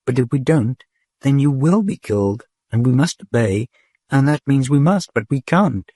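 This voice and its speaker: A man's voice: mid-range voice, animated, normal speed, dark tone, no echo, clean studio sound, voice pushed up, lightly acted. A man voicing a male patrol robot that reasons in circles, repeating itself over and over. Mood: looping. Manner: repetitive, looping reasoning. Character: male patrol robot caught in a logic loop.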